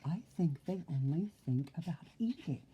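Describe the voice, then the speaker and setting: A woman's voice: low voice, expressive, normal speed, dark tone, muffled recording, a little hiss or hum. An older woman reading a children's story aloud expressively, with theatrical, playful emphasis. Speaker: storybook reader.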